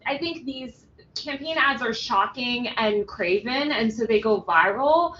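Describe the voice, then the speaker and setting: A woman's voice: fairly high pitch, expressive, measured pace, fairly bright tone, dull audio, a little hiss or hum. A woman journalist being interviewed remotely, talking loosely with many ums and restarts while giving blunt opinions. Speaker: journalist guest.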